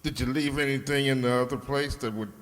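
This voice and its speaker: An actor playing a hot-tempered small-time crook with gruff, slangy, bullying talk in an old-time detective radio play. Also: male voice, mid-range voice, steady tone, fairly quick, a bit bright, lightly acted. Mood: dismissive, scheming. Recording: echoey hall with long reverb.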